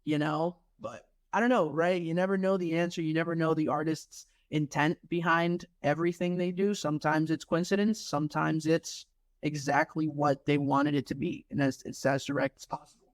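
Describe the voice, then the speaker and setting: Young male artist, opinionated talker, slightly high-pitched, dry mic. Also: steady tone, moderate pace, no room sound. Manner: opinionated, reflective, speaks in long stretches. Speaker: rapper guest. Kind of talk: casual chat. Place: studio room.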